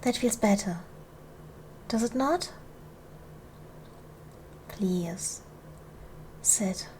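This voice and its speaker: A woman playing a gracious, commanding duchess in an ASMR roleplay, speaking in a formal, measured, soothing way to a servant she protects. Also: mid-range voice, animated, a little mellow, slight background noise. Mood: gentle, reassuring. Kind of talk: ASMR roleplay, soft formal period speech.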